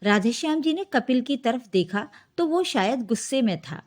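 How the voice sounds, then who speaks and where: A woman's voice: fairly high pitch, big pitch swings, moderate pace. A woman storyteller reading a scripted emotional story.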